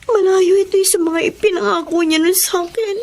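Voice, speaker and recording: An actress playing a weary, battered young wife in a Filipino melodrama, tearful and pleading. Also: female voice, very high voice, steady tone, brisk, noisy background. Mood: tearful despair. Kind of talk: Filipino radio-style melodrama acting. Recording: dramatic music under the voice.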